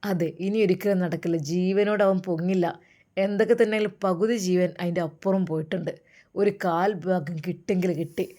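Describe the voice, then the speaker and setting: A woman's voice: on the low side, fairly even intonation, a little fast, dark tone, close to an everyday voice. A woman storyteller voicing a smug, vengeful male villain who gloats coldly over his victim. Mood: gloating. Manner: gloating, cold.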